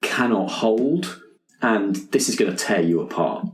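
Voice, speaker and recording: A man's voice: on the low side, lively intonation, unhurried. A man running a tabletop roleplaying game as game master, narrating descriptively to the players. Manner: descriptive, second-person storytelling. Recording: roomy, echoey sound.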